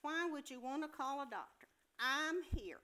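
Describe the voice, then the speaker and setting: A woman's voice: high voice, animated, normal speed, sharp tone. A woman playing a brusque, bossy hospital nurse in an amateur play reading, curt and dismissive as she scolds.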